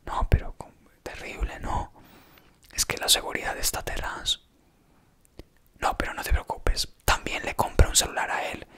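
A man's voice: normal speed, long pauses, bright, forceful tone. A man whispering an ASMR roleplay as a doting, gullible boyfriend.

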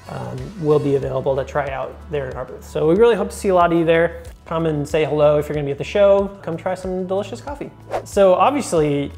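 A young man hosting a casual talk to camera, enthusiastic and chatty with many fillers, in a bright indoor room. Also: on the high side. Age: young adult. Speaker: host.

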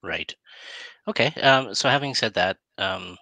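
Middle-aged man, low pitch, forward bright tone, halting casual interviewer, headset mic. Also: no room sound, muffled recording. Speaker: interview host. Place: plain room indoors. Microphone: headset on a video call.

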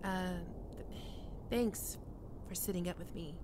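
A woman voicing a prickly, flustered young catgirl roommate in an intimate ASMR roleplay, halting and defensive but secretly soft. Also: lowish voice, noisy background. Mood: hesitant, tender.

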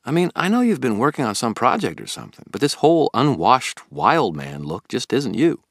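A man's voice: mid-range voice, very animated, moderate pace, no echo, studio quality, pitched above the performer's own voice. A man putting on an eager, pushy young friend. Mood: concerned. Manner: insistent, pleading.

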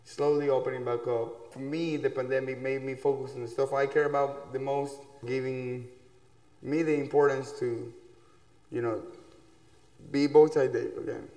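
A young man from Ecuador, a musician, chatting in a relaxed, loose way in a small dark room. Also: medium pitch, normal speed. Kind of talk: casual interview talk. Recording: echoey room with long reverb.

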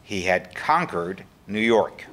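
A man's voice: low-pitched, expressive, slow, a bit bright, slight background noise, full character voice. An older man playing an eyewitness who recounts political events in a staged radio-play reading. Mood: recounting. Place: indoor hall with seated audience. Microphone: lectern microphone.

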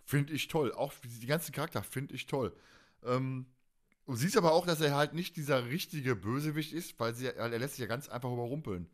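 Male podcast host, medium pitch, chatty and animated, loose unscripted delivery. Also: a little fast. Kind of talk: casual fan podcast discussion.

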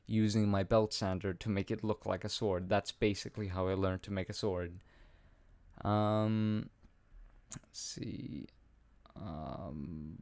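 A man's voice: on the low side, no echo. A young man of about eighteen from Iceland, answering viewer questions in a casual, rambling way with plenty of ums and self-deprecating laughs, recorded at home on a studio mic. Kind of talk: casual Q&A chat. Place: small home room, indoors. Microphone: studio condenser mic with pop filter, wearing headphones.

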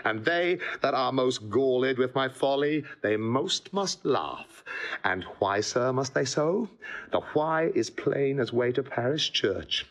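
A man's voice: mid-range voice, expressive, takes it slowly. An actor playing a witty, melancholy male courtier who relishes his words in a mocking, philosophical tone. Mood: wry. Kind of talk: classical verse drama.

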